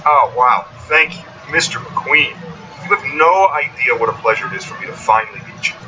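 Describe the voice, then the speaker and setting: A man's voice: mid-range voice, expressive, normal speed, pushed and bright, a little hiss or hum. A man voicing a smug young rookie race car in a cartoon story, taunting with sarcasm. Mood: mock-admiring. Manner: smooth-talking, sarcastic, taunting. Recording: dull, slightly distant, faint music.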